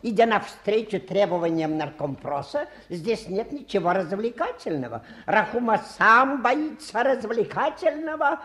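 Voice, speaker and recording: A male actor playing a touchy, boastful travelling fakir in a radio play, with pompous, halting, theatrical patter. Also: high-pitched, expressive, a bit bright. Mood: indignant, self-important.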